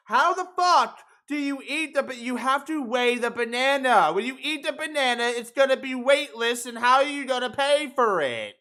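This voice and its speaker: A man's voice: very high-pitched, pushed and bright. A man hosting a livestream chat in a casual, rambling way with swearing. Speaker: host of a podcast livestream.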